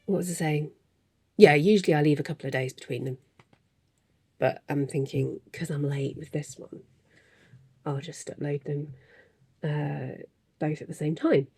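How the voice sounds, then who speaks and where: A woman with a gravelly, husky voice, sounding stuffy as if full of cold. She chats at her home desk into a close desk microphone, rambling and self-deprecating, with soft chuckles between thoughts. Her tone sits low and mellow. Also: fairly even intonation, moderate pace, long pauses, no room sound. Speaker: crafting podcast host. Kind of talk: casual chatty monologue.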